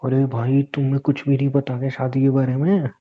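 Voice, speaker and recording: A man's voice: medium pitch, monotone, fairly quick, lightly acted. A young man playing a teasing, boastful newlywed husband in casual, bantering audio-drama dialogue. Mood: mock exasperated. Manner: informal, bantering. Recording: dull lo-fi phone-call style audio.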